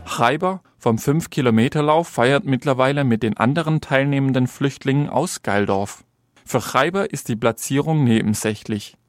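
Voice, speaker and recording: Male reporter, mid-pitched voice, scripted radio feature narration. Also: normal speed, dry recording.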